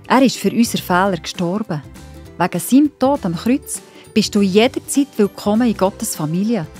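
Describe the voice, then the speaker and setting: A woman with a Swiss German accent narrating a children's Bible story, expressive and speaking directly to young listeners. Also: mid-range voice. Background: music.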